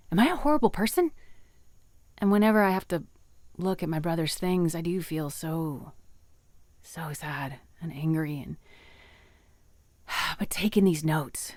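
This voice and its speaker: A woman's voice: fairly low pitch, darkish tone, no echo, clean studio sound, natural voice. An actress playing a frank, curious village fisherwoman in an audio drama, blunt and searching with a teasing streak.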